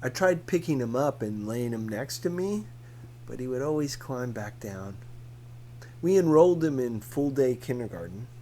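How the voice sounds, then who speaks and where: A man reading a novel aloud as scripted narration, in a measured, deliberate manner. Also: medium pitch, a little mellow, slight background noise, poor audio. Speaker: audiobook reader.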